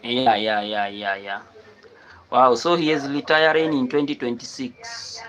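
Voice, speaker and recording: A man's voice: medium pitch, fairly even intonation, measured pace, a bit bright, poor audio. A man from Kenya hosting a casual live stream, repeating his phrases and answering viewers as he reads their comments.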